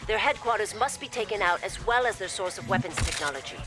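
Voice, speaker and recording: A woman's voice: medium pitch, lively intonation, natural voice. Woman acting poised imperial briefing officer over music. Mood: urgent, zealous. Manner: formal, measured, deferential. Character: poised, devoted female imperial logistics officer briefing commanders. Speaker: military intelligence and logistics officer. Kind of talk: scripted video game cutscene briefing. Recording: loud music behind the voice.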